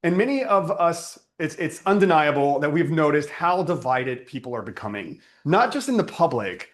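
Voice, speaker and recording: A man delivering a guided spiritual sermon as a teacher, reflective and deliberate, repeating phrases for emphasis. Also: a little high, very animated.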